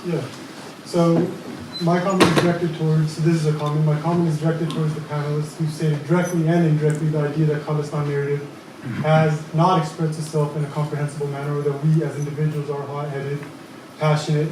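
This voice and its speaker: A man from Punjab making a prepared comment from the audience, deliberate and measured, using repeated phrases for emphasis. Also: fairly high pitch, flat delivery, background noise. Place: large echoey hall. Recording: echoey hall, far from mic.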